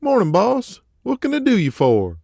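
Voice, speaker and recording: A man's voice: sits high, wildly swooping pitch, normal speed, creaky, dark tone, dry recording, studio quality, pitched above the performer's own voice, full character voice. A man voicing an eager, folksy wrestling office underling in an audio drama, chipper and slangy.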